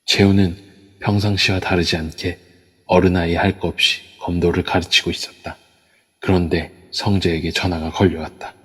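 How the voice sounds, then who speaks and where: A man narrating an audio drama in a measured storytelling manner. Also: low-pitched, level tone, fairly bright tone, husky, long reverb tail. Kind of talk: scripted narration. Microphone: phone app mic.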